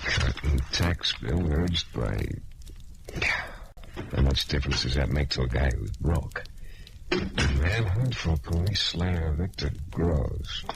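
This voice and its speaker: A man's voice: extremely low pitch, takes it slowly, vocal fry, noisy background, exaggerated voice. A man playing a reckless, sardonic private detective in 1940s radio drama style, on an old transcription recording. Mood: puzzled, dry. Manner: sardonic, colloquial, hard-boiled. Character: wry, reckless hard-boiled male private detective.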